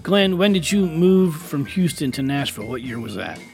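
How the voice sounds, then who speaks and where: Friendly male interviewer, pitched a bit high, keeps the questions moving over faint music. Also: big pitch swings, normal speed, gravelly, darkish tone. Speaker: podcast host. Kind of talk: interviewing guests.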